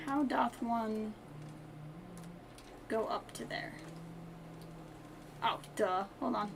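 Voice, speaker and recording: Female streamer, slightly high pitch, casual self-directed play-by-play in short playful asides, noisy recording with typing. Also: drawn-out words, creaky. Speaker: live streamer. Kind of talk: casual gameplay commentary.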